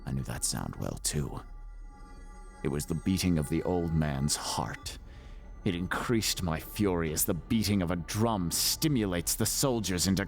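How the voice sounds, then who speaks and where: An extremely deep, creaking voice from a man acting as a nervous, murderous gothic storyteller. He is theatrical and deliberate, dropping into breathy whispers and leaning on repeated words with menace. Faint music plays behind this dramatic horror reading. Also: heavily acted. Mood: insistent, hushed. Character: unhinged murderous gothic narrator, nervous and gloating. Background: faint background music.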